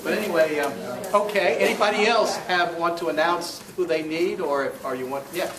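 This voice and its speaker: A man's voice: on the high side, a little fast, crowd noise. A man hosting a club meeting with informal announcements and joking banter. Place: meeting room with chattering group. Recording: echoey noisy meeting room, far mic.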